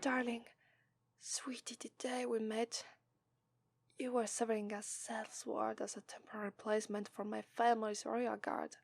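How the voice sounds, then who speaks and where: A cheerful young demon princess bride, voiced by a woman with an Italian accent and a high, sweet voice. She speaks slowly and fondly, breaking into giggles and flustered stammers. In an ASMR roleplay she sounds loving toward her partner. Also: vocal fry, dry recording. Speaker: voice actress.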